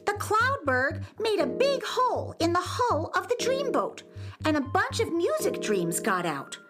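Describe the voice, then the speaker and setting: A woman's voice: very high-pitched, huge pitch swings, a little slow, over music, full character voice. A woman playing the eager first mate of a flying dream ship in a children's radio play, theatrical and earnest. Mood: earnest, urgent.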